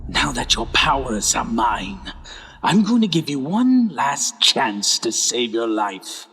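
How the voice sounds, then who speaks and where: A man plays a ruthless fantasy tyrant, gloating and threatening. The delivery is slow and husky, with a long reverb around it. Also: medium pitch, big pitch swings, fairly bright tone, exaggerated voice. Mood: smug menace. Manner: menacing, smug. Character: gloating, ruthless male villain demanding a relic. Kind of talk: fantasy audio drama acting.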